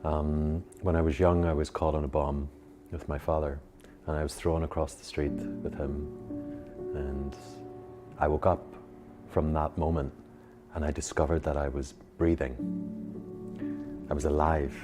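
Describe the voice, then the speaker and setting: A man with a Belfast accent, a meditation teacher, speaking slowly and with long pauses. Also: very deep voice, level tone, over music. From Northern Ireland. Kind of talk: reflective personal account.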